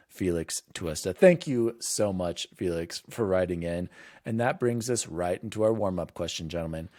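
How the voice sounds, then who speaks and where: A man hosting a podcast, chatting casually in an animated, emphatic way. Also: low voice, dry recording, studio quality. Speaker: podcast host. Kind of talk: casual chat.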